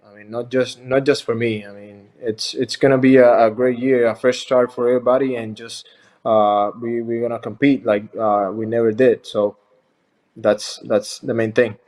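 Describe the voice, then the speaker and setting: A young man from Rico, answering interview questions as a baseball pitcher in a halting, friendly way. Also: steady tone, darkish tone. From Puerto Rico. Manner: halting, many fillers and restarts, friendly.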